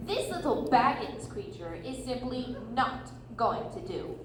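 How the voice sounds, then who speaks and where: Woman as a scornful high-voiced dwarf. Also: big pitch swings, measured pace. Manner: sarcastic, emphatic. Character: scornful, blunt, mocking dwarf adventurer. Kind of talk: readers theatre stage performance. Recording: echoey room from far off, noisy.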